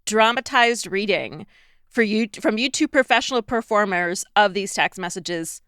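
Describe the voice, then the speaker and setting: Upbeat woman cohost chatting, crisp voice, really dry close recording. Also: medium pitch, lively intonation, normal speed, fairly bright tone, no room sound. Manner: enthusiastic. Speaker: podcast co-host. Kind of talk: casual chat.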